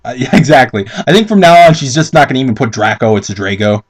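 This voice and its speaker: A man's voice: mid-range voice, lively intonation, fast, husky. A man doing a mock dramatic reading with casual commentary, incredulous, sweary and theatrical. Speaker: amateur commentator reading fan fiction aloud.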